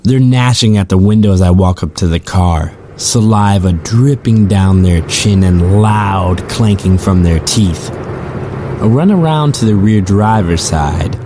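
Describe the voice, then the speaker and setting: Tired rideshare driver, deep voice, musing about creepy stuff like an audio drama, noisy car and street sounds behind. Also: male voice, unhurried, mellow. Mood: tense recounting. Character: weary, musing male rideshare driver telling eerie stories. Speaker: narrator and lead character. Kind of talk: first-person audio drama narration.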